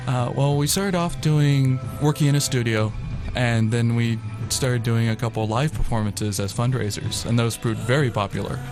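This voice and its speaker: A man's voice: mid-range voice, normal speed, hardly any pauses, music in the background. A man talking as an interview guest, explaining things in an informal way. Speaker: radio theatre member, interview guest. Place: college radio studio.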